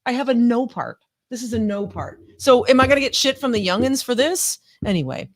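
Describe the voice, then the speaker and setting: A woman's voice: a little high, lively intonation, brisk. A woman in her fifties co-hosting a live podcast, chatting casually and reading viewer comments aloud, laughing often and swearing freely. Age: 50s. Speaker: podcast co-host.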